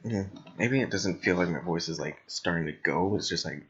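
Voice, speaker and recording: A young man co-hosting a podcast in blunt, rambling, sweary casual chat, with a slightly raspy voice. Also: sits low, moderate pace, lo-fi sound. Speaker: podcast co-host.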